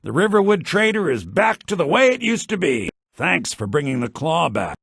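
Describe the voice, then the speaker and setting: Fretful shopkeeper, husky and animated. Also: male voice, a little high, normal speed, no room sound, clean studio sound, exaggerated voice. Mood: earnest, worried. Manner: flustered, hesitant, earnest. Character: fretful, fussy male shopkeeper in fantasy town. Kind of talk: fantasy video game dialogue.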